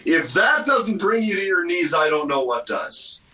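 Opinionated sports guy, highish punchy voice, roomy sound, sitting back from the mic. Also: sing-song, moderate pace, pushed and bright. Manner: opinionated, emphatic. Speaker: hockey radio commentator, guest. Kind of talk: casual sports commentary. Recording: roomy, slightly distant mic.

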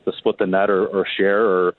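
Reporter guy phoning in, lowish voice, tinny echoey line, talking sports, kinda halting and repeating himself. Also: flat delivery. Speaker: hockey reporter, interview guest. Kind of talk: conversational sports analysis. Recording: thin phone line, roomy echo.

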